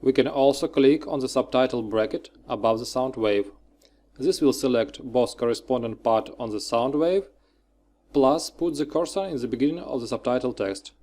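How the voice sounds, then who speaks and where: A man narrating a scripted software tutorial, giving short, clipped step-by-step instructions. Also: mid-range voice, moderate pace.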